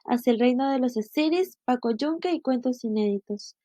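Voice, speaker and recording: A woman's voice: sits high, animated, moderate pace, dark tone, dry recording. A woman reading a narration, carefully listing titles. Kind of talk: read narration. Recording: dry, dull lo-fi recording.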